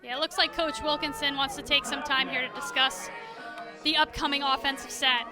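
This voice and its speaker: American lady doing game analysis, high voice, chatty and explaining, stadium music behind. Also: steady tone, brisk. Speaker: sports color analyst. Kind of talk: live game analysis, conversational. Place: outdoor stadium broadcast position. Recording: crowd and music behind, outdoors. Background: crowd and music.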